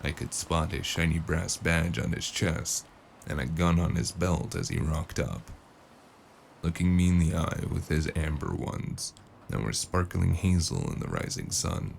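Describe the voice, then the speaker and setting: A man acts out a cynical noir mercenary who narrates his own tale. His voice sits low with a gritty rasp of fry, and his delivery is sardonic and deadpan. A little noise sits behind him. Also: measured pace, heavily acted. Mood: weary narration. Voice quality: deep and gravelly. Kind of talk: noir audio drama acting and narration.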